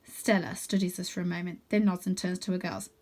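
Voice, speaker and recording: A woman narrating a children's storybook in an expressive read-aloud style. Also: fairly low pitch, brisk, a little hiss or hum. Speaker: storybook reader.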